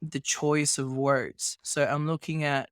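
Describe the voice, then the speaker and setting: A man's voice: a little high, level tone, takes it slowly, no room sound, studio quality. A man hosting a podcast interview, talking through his ideas and building his points with rhetorical questions. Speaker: podcast host. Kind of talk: casual podcast conversation.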